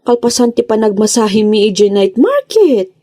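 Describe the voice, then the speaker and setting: A woman's voice: on the high side, sing-song, moderate pace, mellow. A woman with an Ilocano Filipino accent playing an emotional, plaintive lovestruck wife in a radio comedy drama. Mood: embarrassed, dismayed. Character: distraught lovestruck married woman who misread a friend.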